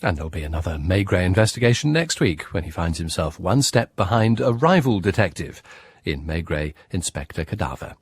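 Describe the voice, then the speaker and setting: A man with a husky voice giving a scripted broadcast announcement as a continuity announcer. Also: very animated, a little fast. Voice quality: husky, low. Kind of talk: scripted programme trailer.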